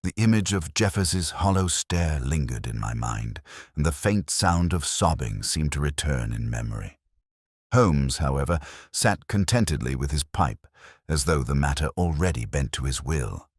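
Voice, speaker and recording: A man narrating a scripted mystery story in the first person, in a measured, solemn and dramatic manner. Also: rumbling low, animated, slightly rough, no room sound, clean studio sound.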